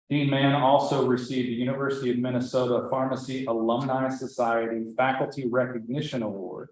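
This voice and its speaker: A man, an associate dean, reading out an award introduction at a banquet ceremony, with friendly asides and small restarts. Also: mid-range voice. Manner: reads a long citation, with asides and restarts. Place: large banquet hall. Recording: very echoey hall through PA.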